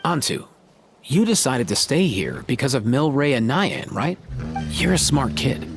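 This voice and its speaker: A man's voice: mid-range voice, huge pitch swings, a little slow, vocal fry, exaggerated voice. An actor playing a laid-back, confident young man in a video game, explaining things in casual slang. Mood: reassuring, explaining. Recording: music under the voice. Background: background music.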